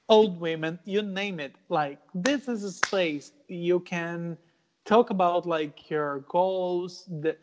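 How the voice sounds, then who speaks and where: A young Brazilian man, a Portuguese speaker, talking earnestly in a casual interview, with many fillers and restarts. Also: high voice. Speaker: guest artist.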